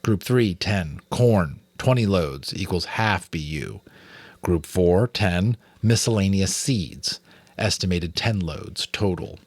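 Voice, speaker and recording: A man's voice: low voice, lively intonation, slow pace, dry recording. A man with an American accent, reading a story aloud in dramatic audiobook narration.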